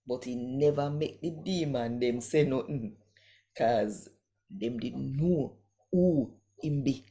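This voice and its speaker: Low-voiced, unhurried Jamaican woman. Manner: deliberate, expressive storytelling in Jamaican Creole. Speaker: host and scripture reader. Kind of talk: devotional reading and channel greeting.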